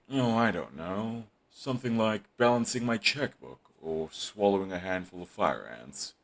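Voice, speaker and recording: Deep, husky, snarky villain voiced by a man for an audio roleplay; dry and dismissive. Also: full character voice. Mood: sarcastic. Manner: sarcastic, dismissive. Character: snarky, grumpy male supervillain, middle-aged. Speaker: voice actor.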